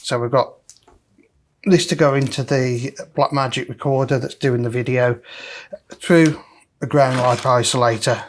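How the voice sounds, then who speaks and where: A man's voice: mid-range voice, fairly even intonation, measured pace, slight background noise. Man giving a casual hands-on demonstration. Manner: explains each step as they work. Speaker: host of a vintage audio repair video.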